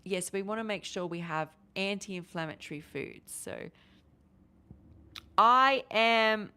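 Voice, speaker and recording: A woman in her forties hosting a casual livestream, talking in a rambling, animated way with many restarts. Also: mid-range voice, takes it slowly, clean tone. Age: 40s. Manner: rambling, animated, restarts often, gestures with hands. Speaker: livestream host. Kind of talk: casual livestream chat answering viewers.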